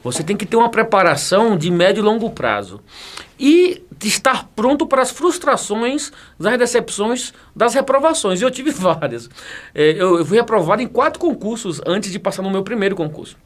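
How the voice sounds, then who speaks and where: Guy in his 40s, jokey and chatty, pretty high voice. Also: very animated, moderate pace. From Bahia, Brazil. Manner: chatty, jokey, laughs often, repeats words. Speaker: guest, federal police chief and teacher. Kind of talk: casual interview talk.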